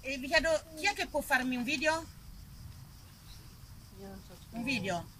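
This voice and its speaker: A woman's voice: high voice, animated, unhurried, pushed and bright, a little hiss or hum, low-quality recording. An Italian woman performing a live theatre monologue, chatting playfully and directly with her audience. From Italy. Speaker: stage performer.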